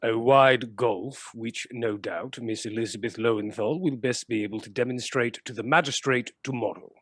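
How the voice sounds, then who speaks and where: A man's voice: mid-range voice, normal speed, fairly bright tone, no echo, studio quality, close to an everyday voice. Man as a genial, hesitant police chief. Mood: official. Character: genial, easily flustered male police chief. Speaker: actor in a radio play. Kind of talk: dramatic radio-play acting.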